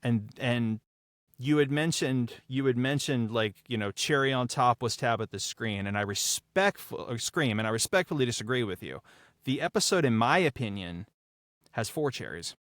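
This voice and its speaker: A man speaking as a podcast guest, an audio drama writer and actor reflecting on his work with emphatic, dramatic phrasing and deliberate pauses. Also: mid-range voice, expressive, fairly bright tone, no room sound, studio quality. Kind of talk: reflective behind-the-scenes discussion.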